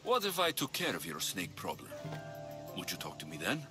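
Low-voiced, wry, tough mercenary. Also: male voice, huge pitch swings, normal speed, music in the background. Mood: questioning, determined. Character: tough, wry male Spartan mercenary, blunt and menacing. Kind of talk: video game character acting.

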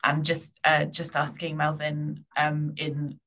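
A woman's voice: low voice, monotone, takes it slowly, a bit bright, slight background noise. A woman board member speaking reflectively in a large boardroom, hesitant in her rusty Welsh. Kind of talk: formal meeting remarks.

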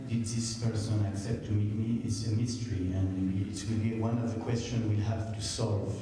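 A man's voice: on the low side, level tone, moderate pace, dark tone, a little hiss or hum. A man in his fifties with a French accent, moderating a stage talk into a handheld mic, hesitant with many fillers. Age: 50s. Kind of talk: public stage conversation. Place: large theater stage. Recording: echoey hall from far off.

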